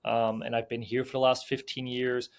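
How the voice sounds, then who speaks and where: A man's voice: medium pitch, little pitch movement, normal speed, clear, resonant tone. Chatty Belgian-raised man with many fillers. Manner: loose and conversational, many fillers and restarts. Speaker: film producer and sales agent, guest. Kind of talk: casual podcast interview.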